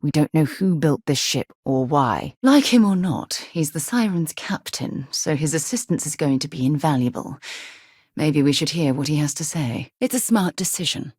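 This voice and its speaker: A principled woman who leads space explorers in a video game. Her voice sits a little low with a husky grain, recorded very dry. She speaks crisply and earnestly, like someone used to being in charge. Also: expressive, normal speed, darkish tone, no room sound. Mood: concerned, firm. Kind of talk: scripted game dialogue.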